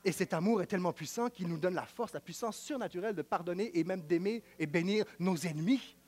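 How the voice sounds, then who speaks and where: A man with a Quebec French accent preaching a sermon in an emphatic, repetitive style, swinging between loud declaiming and quiet, close talk. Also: high voice, fairly quick.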